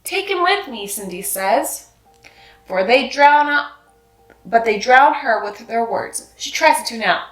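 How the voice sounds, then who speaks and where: A woman's voice: fairly high pitch, slow, a bit bright, faint music behind. A woman reading a children's picture book aloud, narrating expressively and acting out the dialogue. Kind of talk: scripted read-aloud narration with character dialogue. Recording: roomy, echoing sound.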